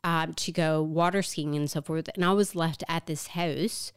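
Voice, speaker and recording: A woman's voice: deep voice, fairly even intonation, moderate pace, smooth, dry recording, clean studio sound. A woman in her thirties from Canada, co-hosting a podcast and talking frankly about her past, swearing casually and acting out remembered lines. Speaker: podcast co-host. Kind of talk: candid conversational podcast chat.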